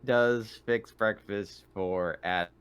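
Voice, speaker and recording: A man's voice: fairly low pitch, takes it slowly, a loud crowd. A man playing a tabletop roleplaying game, chatting casually over an online voice call. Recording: faint noise on online voice chat.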